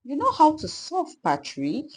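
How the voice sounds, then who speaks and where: A woman voicing an eager, anxious, boyish cartoon sea sponge in a storybook, earnest and excitable. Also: high voice, a little slow, a little hiss or hum, pitched above the performer's own voice, exaggerated voice. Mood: surprised.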